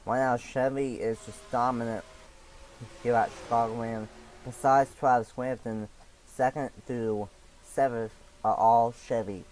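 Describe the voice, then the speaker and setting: A young man calling a sim racing league race play by play, a little raspy from allergies, with halting delivery, frequent fillers and restarts. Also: mid-range voice, steady tone, darkish tone, slight background noise, low-quality recording.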